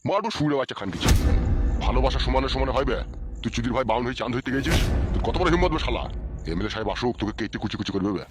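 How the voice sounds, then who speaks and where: Brief: menacing strongman played by a man, rapid insults, steady threat. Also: medium pitch, close to an everyday voice. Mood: threatening. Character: menacing male party henchman, crude and cocky.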